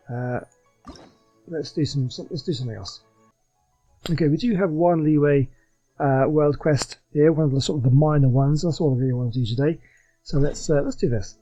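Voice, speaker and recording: A man's voice: medium pitch, mellow, dull audio. A man giving casual, chatty let's play commentary in a rambling way. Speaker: gaming channel host.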